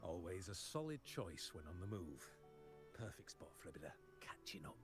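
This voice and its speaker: World-weary male agent, lowish pitch, lively intonation, casual and frank, video game dialogue, music behind. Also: moderate pace. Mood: candid.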